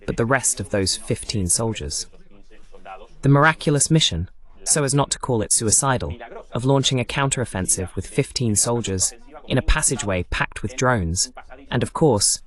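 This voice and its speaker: A man's voice: medium pitch, a bit bright. A man hosting a political news broadcast, giving running commentary on war footage with pointed, sardonic asides.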